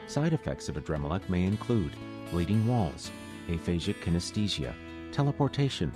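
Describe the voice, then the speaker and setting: A man playing a deadpan announcer in a parody drug commercial, reading straight-faced over guitar music. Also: low voice, normal speed. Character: deadpan male pitchman for an absurd medication. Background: plucked guitar music.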